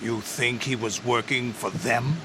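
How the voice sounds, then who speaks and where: An actor playing a cold, commanding terrorist leader in a video game, curt and gravelly. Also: male voice, medium pitch, fairly even intonation, a little slow, natural voice. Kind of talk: video game cutscene acting. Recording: noisy vehicle-like ambience behind.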